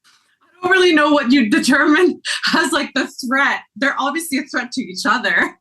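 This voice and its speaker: Female guest commentator, lively intonation and sharp, raspy edge, chatting casually and questioning. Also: high-pitched, moderate pace, poor audio. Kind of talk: casual reaction chat.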